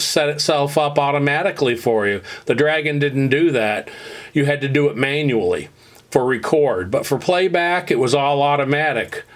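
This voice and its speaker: A man narrating an equipment repair in an unhurried, plain-spoken way, with frequent fillers and restarts. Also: a little high. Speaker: repair hobbyist narrating a restoration. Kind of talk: unscripted instructional voice-over.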